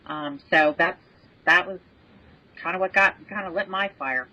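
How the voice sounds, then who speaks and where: A woman's voice: fairly low pitch, a little slow, sharp tone. A woman speaking as a podcast guest, explaining and recounting in a conversational way. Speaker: podcast guest, medical association president. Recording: dull, band-limited call audio.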